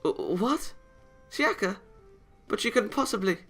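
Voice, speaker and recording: Male reader as a flustered young anime girl, high pitch, indignant stammer, quick temper, visual novel, music behind. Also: animated, a bit bright, heavily acted.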